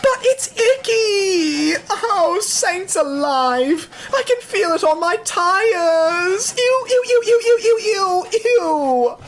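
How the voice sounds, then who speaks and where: A man's voice: very high voice, expressive, takes it slowly, few pauses, a bit bright, pitched above the performer's own voice, heavily acted. A man voicing a prissy, whiny young male steam engine in an audio drama, fussy and melodramatic. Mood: disgusted wailing.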